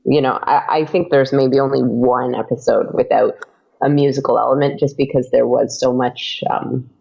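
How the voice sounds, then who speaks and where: A woman's voice: rumbling low, fairly even intonation, moderate pace, creaky, warm tone, slightly rough, highs cut off. A woman guest on a podcast talking casually about making her audio drama, hesitant with many fillers and restarts. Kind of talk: casual interview chat.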